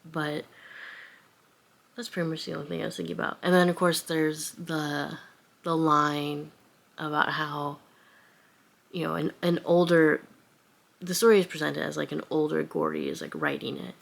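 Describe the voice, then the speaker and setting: A woman's voice: low voice, level tone, moderate pace, creaky, pauses often. A young adult woman giving a casual, unscripted book vlog, rambling informally and often saying "like". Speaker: book vlogger.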